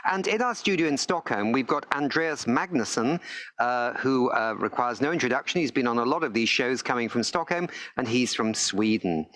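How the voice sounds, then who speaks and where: A man's voice: mid-range voice, animated, normal speed, a bit bright, dry recording. Male panel moderator, mid-range voice, informal, slightly hesitant live hosting.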